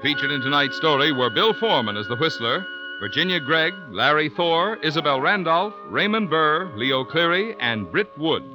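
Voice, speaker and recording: A male announcer reads scripted credits in vintage radio fashion. His voice is fairly high and very animated, with formal projection. Music plays clearly behind him. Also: moderate pace, low-quality recording. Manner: formal, declamatory.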